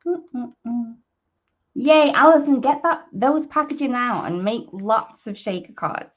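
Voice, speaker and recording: A woman's voice: a little high, expressive, slow. Chatty woman hosting a craft demo, dull audio. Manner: chatty, informal, thinking aloud as she works. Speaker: host of a live papercrafting demonstration. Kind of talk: casual live craft tutorial, chatting to viewers.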